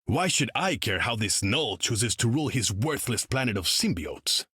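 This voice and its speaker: A man's voice: mid-range voice, big pitch swings, normal speed, bright, forceful tone, breathy edge, no room sound, studio quality, full character voice. An actor voicing a haughty, arrogant king for a video game, scornful and regal. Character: haughty proud male undersea king.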